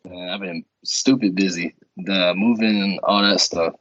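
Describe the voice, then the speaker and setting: A man's voice: sits low, steady tone, measured pace, fairly bright tone. Young guy cohosting a podcast, slangy, laughs a lot, kinda dull lo-fi audio. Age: young adult. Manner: loose slangy banter, laughs often. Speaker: podcast co-host. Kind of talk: casual chat.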